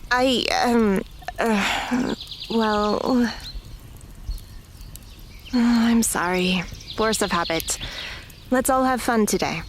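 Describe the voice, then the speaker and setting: A woman playing a serious, grumpy teenage girl with a dry, deadpan manner in an audio drama. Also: a little high, extremely slow, long pauses, noisy background. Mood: awkward, apologetic.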